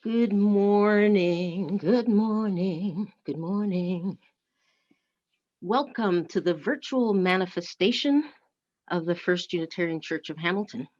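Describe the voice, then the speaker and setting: A woman leading a church service, speaking and reading in a measured, deliberate way. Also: mid-range voice, darkish tone. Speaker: worship service leader. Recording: dull, lo-fi online audio.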